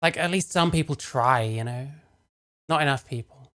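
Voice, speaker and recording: A man's voice: medium pitch, moderate pace, fairly bright tone. A man doing casual live stream commentary, talking in a rambling, sarcastic, sweary way. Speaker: streamer reacting to a video.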